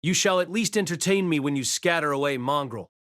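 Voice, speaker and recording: A man's voice: a little high, fairly quick, a bit bright, no room sound, studio quality, lightly acted. A man performing a haughty, scornful golden warrior king. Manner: imperious, scornful, grandiose. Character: haughty golden heroic spirit archer, regal, contemptuous, murderously proud.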